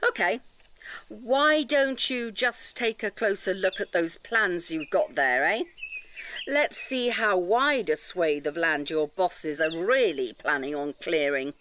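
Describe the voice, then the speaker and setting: An actress playing an indignant, articulate defender of a nature sanctuary in an audio drama, challenging and emphatic. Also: female voice, on the low side, animated, slow, fairly bright tone, slight background noise.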